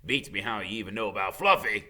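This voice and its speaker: Man playing a gruff, hesitant, bumbling gamekeeper. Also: on the low side, animated, fast, pushed and bright, heavily acted. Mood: defensive. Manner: hesitant, mumbling with many uhs.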